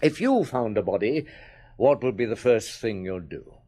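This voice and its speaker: A middle-aged actor playing a patient police chief in a radio drama, questioning a witness in a calm, coaxing way. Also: male voice, lowish voice, normal speed.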